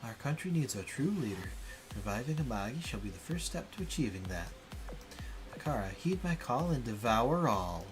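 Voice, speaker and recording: A man's voice: mid-range voice, sing-song, moderate pace, close to an everyday voice. A man voicing an obsessed, scornful female impostor villain from a visual novel in a haughty, theatrical way. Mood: imperious. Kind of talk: dramatic visual novel read-aloud. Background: game music.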